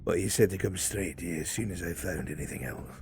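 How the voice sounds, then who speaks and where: A man playing an anxious, deferential guard informant in a fantasy roleplay, speaking in a halting, formal, apologetic way. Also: sits low. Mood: uneasy, urgent. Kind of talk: improvised roleplay dialogue. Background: music.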